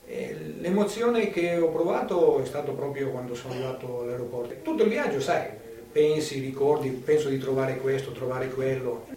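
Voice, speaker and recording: A man's voice: medium pitch, moderate pace, few pauses, mellow. An older man recounting his own memories in a conversational way, as testimony. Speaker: witness giving testimony. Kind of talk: personal recollection, conversational storytelling. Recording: roomy, distant, noisy video interview sound.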